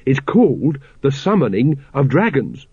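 A man's voice: medium pitch, big pitch swings, moderate pace, husky, no echo, muffled recording. A man voicing an earnest, enthusiastic young male watchman who quotes the rules. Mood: eager. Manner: bright, enthusiastic, rule-quoting.

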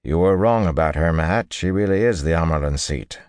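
A man voicing a calm, courteous nobleman officer in an audiobook, speaking politely and with measured assurance. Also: low voice, clear, resonant tone, no room sound, clean studio sound, natural voice. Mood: calm, assured. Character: calm, courteous nobleman soldier, loyal second-in-command.

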